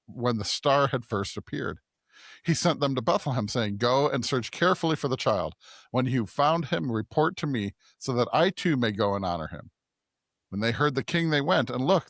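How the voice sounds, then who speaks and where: A man's voice: medium pitch, dry recording. Male podcast co-host for a scripture reading, mid-range voice, dry close recording.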